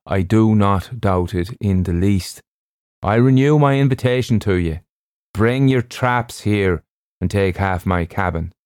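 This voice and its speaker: A man's voice: fairly low pitch, a little slow, a little mellow, clear, resonant tone, no room sound, studio quality, lightly acted. A man voicing a brusque, self-assured ship's surgeon in an audiobook, with clipped, emphatic, old-fashioned speech. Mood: earnest, hospitable.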